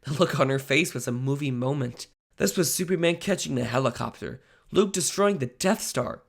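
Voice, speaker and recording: A young man playing an earnest, excitable teenage amateur superhero in a comic dub, with some self-deprecation. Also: medium pitch, moderate pace, vocal fry. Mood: wry, excited narration. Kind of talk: comic book dub acting, first-person narration.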